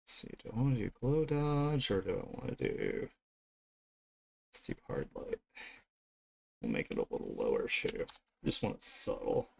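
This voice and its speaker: A man streaming his art, thinking aloud in an informal, unhurried way as he works. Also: medium pitch, wildly swooping pitch, vocal fry, a little mellow, husky. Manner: informal, unhurried musing to self while drawing. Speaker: streamer and artist. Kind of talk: casual art livestream commentary, thinking aloud.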